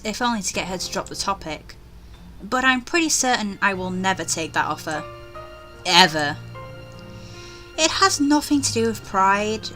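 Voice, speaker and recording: A young woman with a bright, crisp-edged voice hosts a casual let's play. She moves between offhand commentary and reading game text aloud, with faint music underneath. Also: mid-range voice, poor audio.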